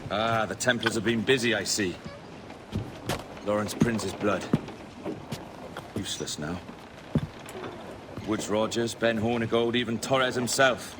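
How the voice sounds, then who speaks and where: An actor playing a sly, cheerful and ruthless pirate captain in a video game, speaking in a flowery, mocking, theatrical way. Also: male voice, on the low side, a little slow, pauses often, very noisy, natural voice. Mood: sly, scheming. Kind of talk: video game cutscene acting.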